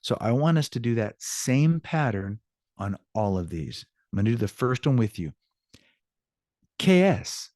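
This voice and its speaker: A man's voice: lowish voice, darkish tone, no room sound, clean studio sound. A man teaching an online language lesson, informal and encouraging as he explains examples and switches between two languages. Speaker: Spanish teacher. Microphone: video call.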